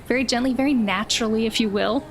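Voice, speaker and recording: Creaky-voiced woman running a tabletop game. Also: a little high, fast, faint music behind. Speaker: game master. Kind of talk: improvised tabletop game narration.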